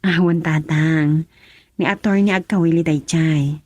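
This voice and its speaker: An actress with an Ilocano Filipino accent playing a businesslike grown daughter in a radio drama. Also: female voice, deep voice, fairly even intonation, measured pace, darkish tone, no room sound. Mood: respectful, concerned.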